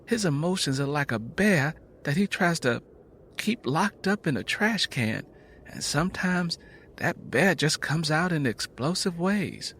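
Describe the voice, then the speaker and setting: A man's voice: on the high side, expressive, a little slow, a bit bright, a little hiss or hum, voice pushed up. A man voicing a stern, protective, weary Southern American mother who speaks firmly in rustic dialect, in an audiobook story. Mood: pleading, protective. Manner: firm, admonishing rustic dialect.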